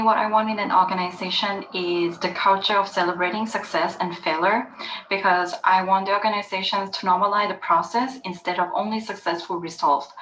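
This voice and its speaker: A woman's voice: medium pitch, fairly bright tone, roomy sound, slight background noise, low-quality recording. A woman from Thailand, giving a short statement in earnest, plain wording.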